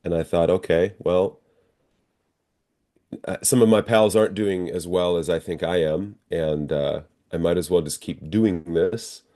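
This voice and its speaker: A man with an American accent and a deep voice speaks as an interview guest. He answers conversationally and reflectively, working through his explanations step by step. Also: fairly even intonation, measured pace, dark tone. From northern Minnesota, United States. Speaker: interview guest, professional stage actor.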